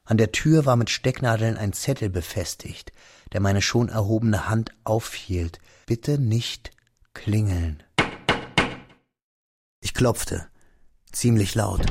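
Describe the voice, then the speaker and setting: Hushed, wistful young narrator, gravelly. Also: male voice, on the low side, background noise. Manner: measured, hushed, drops to near whispers. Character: young man recalling a lost friend, wistful, restrained. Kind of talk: literary radio drama narration.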